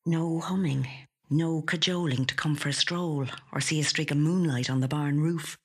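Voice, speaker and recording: Story narrator, mid-pitched and husky, for measured, hushed literary prose. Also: steady tone. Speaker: actor reading a short story.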